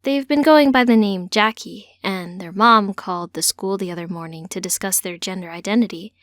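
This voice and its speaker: A woman's voice: medium pitch, moderate pace, a bit bright, dry recording, studio quality, close to an everyday voice. A woman voicing an earnest, slightly sheepish grown daughter in an audiobook story. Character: earnest young transgender woman, a teacher, confiding in mother.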